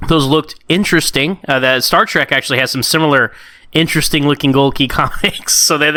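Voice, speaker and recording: A man's voice: a little high, fairly quick, bright, forceful tone, no room sound. A man in his early thirties chatting casually and enthusiastically as a podcast guest. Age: early 30s. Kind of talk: casual fan chat.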